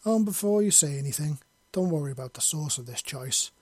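A man's voice: a little high, lively intonation, fairly quick, a little mellow, breathy edge, no room sound. A man voicing a mysterious, confident woman who speaks in a playful, gracious and assured manner. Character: mysterious, playful, confident beautiful dark-haired young woman.

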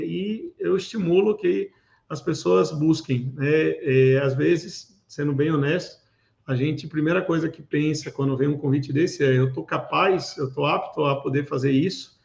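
A man in his forties from Pernambuco, Brazil, a guest speaking in a casual interview, giving thoughtful advice with many fillers and pauses. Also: fairly high pitch, gravelly, a little mellow. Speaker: guest, security event organizer. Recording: roomy, dull lo-fi sound.

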